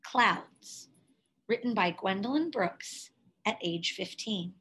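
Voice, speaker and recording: A woman reading a picture book aloud expressively, in a measured way with dramatic pauses and emphasis. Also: medium pitch, expressive, a bit bright, slightly rough, low-quality recording. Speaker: read-aloud storyteller, teacher.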